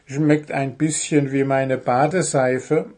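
A man's voice: mid-range voice, flat delivery, slow, a little mellow, pitched above the performer's own voice. A man voicing a good-natured, odd male kobold with a cheery, matter-of-fact manner.

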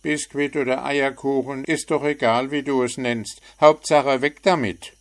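A man's voice: mid-range voice, steady tone, a little slow, voice pushed up, natural voice. A man voicing a cheeky little bird who teases with sarcastic remarks, in a storybook reading. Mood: teasing. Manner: teasing, sarcastic.

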